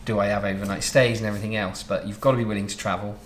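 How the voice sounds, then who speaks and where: A man in his thirties from Southwest England hosting a relaxed interview, chatting and explaining at length. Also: fairly low pitch, rapid-fire, echoey room, slight background noise. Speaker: interview host, wedding photographer. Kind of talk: casual interview. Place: home studio office, indoors.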